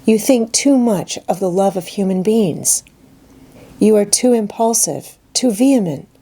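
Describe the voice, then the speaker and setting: A woman voicing a calm, pious schoolgirl friend in a storybook reading, measured, earnest and gently reproving. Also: medium pitch, gravelly, breathy edge, voice pushed up, exaggerated voice. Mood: earnest, devout. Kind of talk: dramatised audiobook reading.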